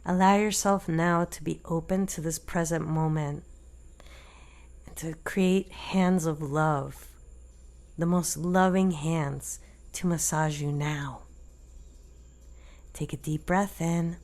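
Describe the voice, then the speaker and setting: A woman leading a guided meditation in a gentle, deliberate manner, leaving long pauses between short phrases. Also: low-pitched, steady tone.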